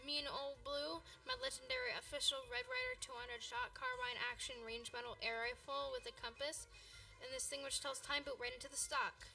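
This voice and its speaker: A woman's voice: high voice, very animated, a little fast, vocal fry, bright, forceful tone, recorded from a distance, faint music behind, exaggerated voice. A woman playing an eager, scheming young boy in a radio play, with an earnest, boyish manner. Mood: bold, heroic.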